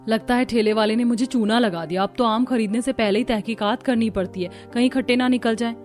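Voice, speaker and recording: Brief: woman, slightly high voice, lively cartoon dubbing, soft music behind. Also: fairly quick. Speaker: narrator and voice actor. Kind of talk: cartoon story narration and dubbing.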